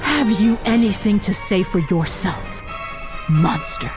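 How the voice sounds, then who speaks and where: A woman's voice: medium pitch, expressive, slow pace, over music. A woman playing a scornful, exasperated costumed superheroine in an audio drama, with grandiose heroic speech that slips into annoyance. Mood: righteous indignation.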